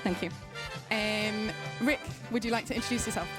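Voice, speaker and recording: A woman's voice: mid-range voice, steady tone, moderate pace, loud music behind the voice. A woman hosting a live game show at a conference in a playful, teasing way.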